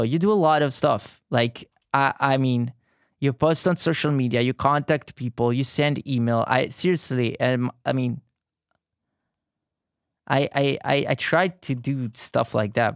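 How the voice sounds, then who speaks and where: A man's voice: mid-range voice, no echo, clean studio sound. Italian man chatting while painting. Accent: southern Italian. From Italy. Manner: halting, frequent restarts and fillers, friendly. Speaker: art streamer. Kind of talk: casual live-stream chat while painting.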